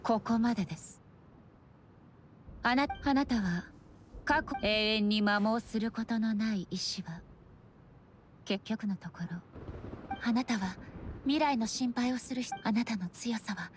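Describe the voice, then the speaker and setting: A woman's voice: a little high, unhurried, pauses often, a little hiss or hum, lightly acted. A woman voicing a stern, solemn warrior goddess in an anime game, speaking formally and resolutely. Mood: solemn, measured. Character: stern, solemn electro goddess shogun in combat and reflection. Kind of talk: anime video game acting. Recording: game music behind the voice.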